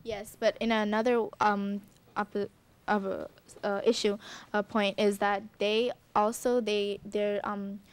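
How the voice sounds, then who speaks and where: A woman's voice: medium pitch, flat delivery, slow pace, smooth. A young woman, a student, giving an informal opinion in a group discussion, hesitantly searching for words. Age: young adult.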